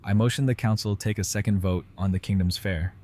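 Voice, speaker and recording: A man's voice: fairly low pitch, steady tone, a little fast, darkish tone, close to an everyday voice. A man playing an earnest, idealistic councilman arguing for cooperation in an audio drama. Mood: urgent, persuasive. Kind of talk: full-cast audio drama acting.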